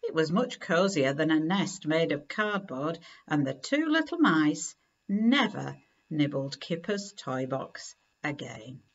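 A middle-aged woman reading a children's picture book aloud in a deliberate, expressive way at home. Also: sits low, dull audio. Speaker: story reader. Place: living room at home, indoors.

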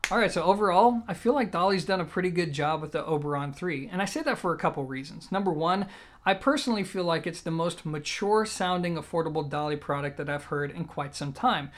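Man, thirties, fairly high-pitched, conversational reviewer, measured explanations, casual delivery. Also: animated. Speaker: audio gear reviewer. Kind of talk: casual product review to camera. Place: small room indoors.

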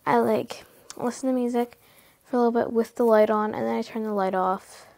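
A teenage girl vlogging from her bedroom at night, rambling casually with lots of fillers, often hushed, her nose stuffy from a cold. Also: on the high side, steady tone, brisk, vocal fry. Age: teens. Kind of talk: casual late-night chat to camera. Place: bedroom at night, sitting on bed.

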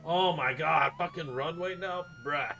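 A man's voice: fairly high pitch, measured pace, bright, forceful tone. A man chatting in character on a live game stream as a medic, casual and foul-mouthed, grumbling as he goes. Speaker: streamer role-playing as an emergency medic. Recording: noisy game audio with sirens behind. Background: emergency sirens and game sounds.

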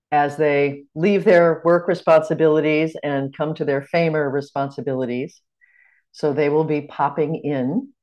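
A middle-aged woman with an American accent, leading a teaching workshop over a video call from a room at home. She talks in a measured, welcoming way, the tone of an online lecture. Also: deep voice, warm tone, muffled recording. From United States.

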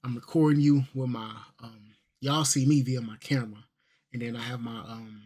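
A man's voice: mid-range voice, little pitch movement, normal speed, a little mellow, husky. A man, vlogging casually from a small bedroom into a desk microphone, loose and rambling with lots of fillers and slang. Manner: laid-back, rambling, heavy on fillers and slang. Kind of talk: casual fitness vlog. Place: small bedroom with gaming setup.

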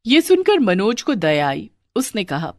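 Lady narrating a scripted tale, expressive, mid pitch that moves around a lot. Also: measured pace, no echo, clean studio sound.

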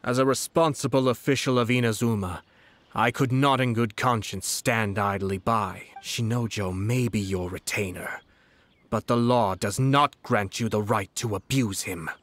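An actor playing a composed, refined nobleman in a video game, formal, measured and politely firm. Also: lowish voice, a bit bright, close to an everyday voice. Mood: coolly challenging. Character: composed, refined young nobleman and government commissioner. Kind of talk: scripted video game dialogue.

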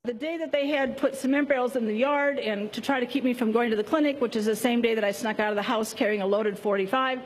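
A woman, a physician, telling an animated story during a public talk. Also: on the high side, fast, hardly any pauses, husky, rough recording. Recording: faint noise, archive talk clip.

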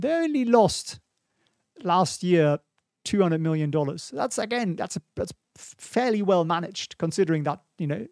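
A man's voice: a little high, darkish tone, dry recording. A man in his forties with a German accent, casually commenting on stock charts in a home studio on a studio mic, thinking aloud and reading viewer questions. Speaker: stock market livestream host. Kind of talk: casual live market commentary. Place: small home studio, indoors. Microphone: studio mic on boom in front of face.

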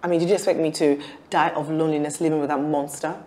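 Sharp-tongued, defiant scheming wife played by an actress. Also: female voice, low-pitched, level tone, fast, slight background noise, lightly acted. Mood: bitter, reproachful. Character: defiant, calculating wife and mother scheming for money. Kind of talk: screen drama dialogue.

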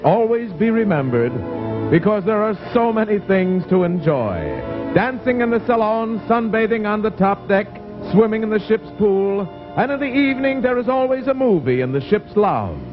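Dreamy old tv narration, highish voice, dull sound. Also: male voice, lively intonation, moderate pace. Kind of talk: scripted 1950s television narration. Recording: dull vintage TV audio under music.

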